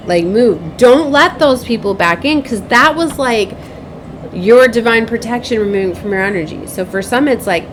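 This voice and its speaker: A woman's voice: a little high, a little hiss or hum. A woman giving a casual, rambling spiritual vlog, repeating herself, often checking for agreement and acting out bits of remembered dialogue. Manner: rambling, repetitive, frequently seeks agreement with tag questions. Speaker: spiritual advisor and tarot reader, host.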